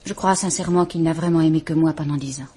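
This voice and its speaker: A woman's voice: low voice, quick pace, warm tone, husky. An actress playing a frightened, dignified woman of about thirty in a vintage radio drama, composed, formal and restrained. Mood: restrained, bitter. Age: 30s. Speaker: woman reporting her husband.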